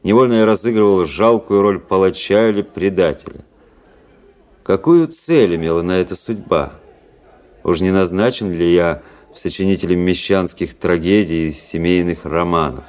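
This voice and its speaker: An actor playing a cool, melancholy, cynical young gentleman officer in a Russian radio play, speaking with detached irony and introspection. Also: low-pitched, moderate pace, lightly acted. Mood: brooding, melancholy. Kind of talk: Russian radio play acting, literary narration. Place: studio radio drama, music behind.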